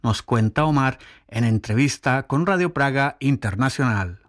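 A male radio reporter reading scripted narration between interview clips in a smooth, even way. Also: medium pitch, normal speed.

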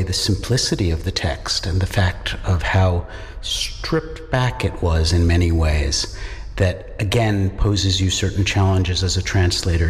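A man who teaches Czech at a university, answering interview questions in a measured, reflective way with pauses. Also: low-pitched, in a large hall. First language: English. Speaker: university lecturer in Czech studies.

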